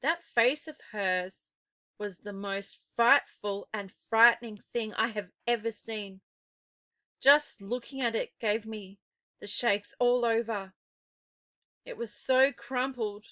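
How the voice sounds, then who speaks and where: Woman reading a kids novel out loud, dramatic n suspenseful, bright voice bit on the high side. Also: no room sound. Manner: expressive, deliberate, suspenseful phrasing. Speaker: teacher reading a children's novel aloud. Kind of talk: dramatic storybook narration.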